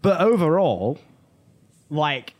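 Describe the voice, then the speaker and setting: A man's voice: on the high side, big pitch swings, slow. A man co-hosting a pop culture talk show, discussing things in a casual, conversational way. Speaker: podcast co-host.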